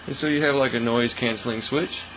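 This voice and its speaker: A man hosting a casual hands-on hobby demonstration in a folksy, informal, unhurried way, recorded in a small indoor workshop studio. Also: mid-range voice. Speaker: host of a hobby workbench channel. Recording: steady mains hum, faint noise.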